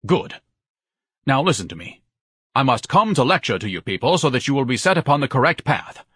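A man's voice: mid-range voice, big pitch swings, brisk, fairly bright tone, slightly rough, no echo, studio quality, pitched above the performer's own voice. A man voicing a pompous, bombastic scholar with a solemn, orator-like way of talking and florid diction, in a storybook narration. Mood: stern. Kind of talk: audiobook character acting.

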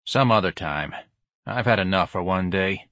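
A man's voice: sits low, animated, moderate pace, fairly bright tone, no echo. A man voicing an irritable, sarcastic businessman husband in an audiobook, dry and dismissive. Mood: weary, dismissive.

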